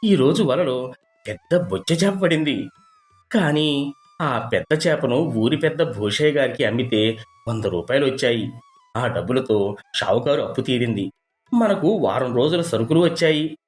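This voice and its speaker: A woman's voice: extremely low pitch, animated, normal speed, pauses often, a little mellow, faint music behind, pitched below the performer's own voice. A woman voicing a poor, humble and devoted fisherman father, speaking earnestly in a storybook tale. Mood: earnest, tender.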